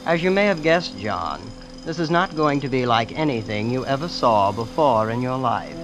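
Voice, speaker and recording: An actor playing a breezy, immensely rich young heir who is casually ruthless, boasting in a nonchalant, understated way in an old-time radio drama. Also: male voice, medium pitch, expressive, moderate pace, music in the background, close to an everyday voice. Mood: smugly casual. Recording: old radio broadcast with clear noise.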